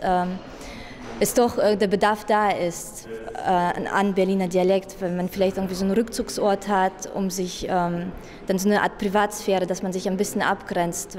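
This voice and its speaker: A woman's voice: medium pitch, mellow, a little hiss or hum. A young woman in her twenties explaining her exhibition concept, hesitant with many fillers, into a handheld mic in an exhibition hall. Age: 20s. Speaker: design graduate. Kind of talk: interview at an exhibition. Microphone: handheld interviewer mic.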